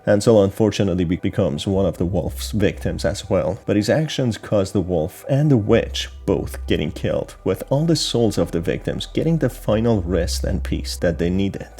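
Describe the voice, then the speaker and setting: A man's voice: low-pitched, lively intonation, moderate pace, vocal fry, hardly any pauses, mellow, husky. A man reading a scripted explanation of a horror story as narrator. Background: background music.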